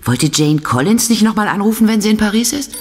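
A woman's voice: mid-range voice, lively intonation, a little fast, background noise, close to an everyday voice. An actress playing an affectionate, playfully chiding woman in an audio drama. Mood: teasing. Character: teasing, affectionate female companion of a professor. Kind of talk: radio drama dialogue.

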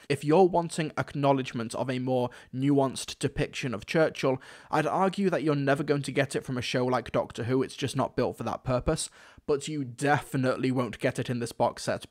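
A man delivering scripted review commentary, opinionated and wry, with emphatic phrasing. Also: mid-range voice. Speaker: reviewer, host.

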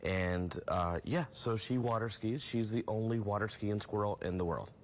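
Friendly middle-aged animal handler. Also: male voice, on the low side, normal speed. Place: large indoor exhibition hall.

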